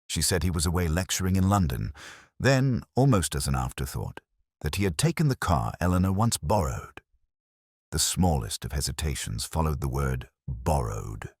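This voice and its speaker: A man voicing a wry, literary middle-aged male detective, narrating a mystery in a measured, reflective first person. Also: rumbling low, big pitch swings, creaky, husky, no room sound, studio quality, full character voice. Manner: measured, reflective, quietly observant.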